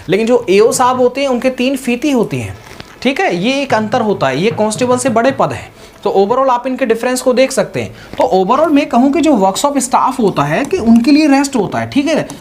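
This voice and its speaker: A man with a high voice speaks informally, like a coach talking directly to students. He explains things conversationally and keeps adding little check-in tags. Clear ambient noise sits behind him. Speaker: exam guidance presenter. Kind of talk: informal motivational advice talk to students.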